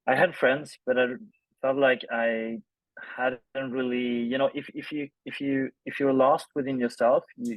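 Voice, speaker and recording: A man, telling his story casually and haltingly as an interview guest, with informal language. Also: medium pitch, dry recording, poor audio. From Sweden. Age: 30s. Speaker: interview guest, film director.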